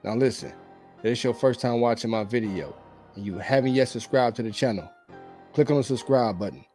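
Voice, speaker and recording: A man talks through dating opinions in a loose, rambling, conversational way. His voice is warm and mellow, with a slight hoarseness from a cold. Faint music plays in the background. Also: medium pitch, a little fast. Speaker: dating commentary vlogger. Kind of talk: casual opinionated commentary.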